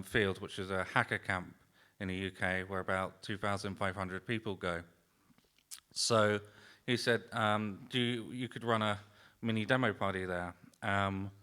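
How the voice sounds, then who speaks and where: A man talks informally at a roundtable in a low, very flat-pitched voice. It is bright and clean-edged, though his delivery is halting, with phrases left unfinished. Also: clear, resonant tone. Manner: halting, trails off mid-phrase. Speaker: demoscene party organizer on a panel.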